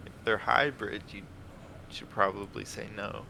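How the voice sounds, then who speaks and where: A man's voice: lowish voice, fairly even intonation, moderate pace, bright, forceful tone, poor audio. A man talking casually as a contestant in a podcast quiz with friends. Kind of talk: casual chat. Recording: clear background noise.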